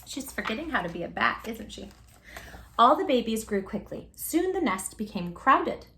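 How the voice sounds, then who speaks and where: A woman host reading a children's story aloud in an animated, expressive way, with dramatic pauses and drawn-out emphasis. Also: on the high side, slight background noise.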